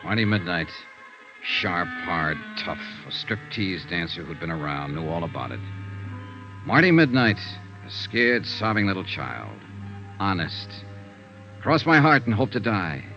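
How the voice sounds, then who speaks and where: An actor playing a world-weary, wry insurance investigator in a vintage radio noir drama, with terse, punchy delivery. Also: male voice, deep voice, sing-song, unhurried, pushed and bright, faint music behind, exaggerated voice. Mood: grim, sardonic. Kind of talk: 1950s radio noir acting and narration. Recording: dull, noisy old radio recording.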